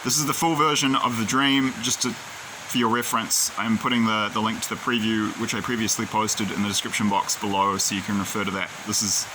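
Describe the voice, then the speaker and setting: A man's voice: fairly low pitch, fairly even intonation, fairly quick, hardly any pauses, noisy background. A man talking casually to his own camera in a rambling, digressive, opinionated way. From New Zealand. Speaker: video creator. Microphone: camera he films himself with.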